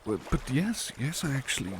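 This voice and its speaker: A man playing a devoted, tender butler in an ASMR roleplay, murmuring softly and a little hesitantly to the listener. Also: mid-range voice, very animated, normal speed, a little hiss or hum. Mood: wistful, reflective. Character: devoted, tender male butler who loves painting.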